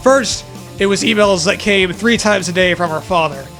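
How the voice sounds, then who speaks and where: A man's voice: high voice, normal speed, fairly bright tone, faint music behind. A man co-hosting a comedy show, mockingly reading fan fiction aloud with sarcastic, profane banter.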